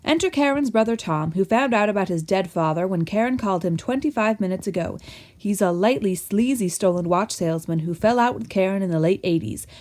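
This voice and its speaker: A woman narrates a scripted radio comedy in a medium-pitched voice. Her delivery is chatty and wry, like someone passing on family gossip. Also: expressive, fairly quick, darkish tone.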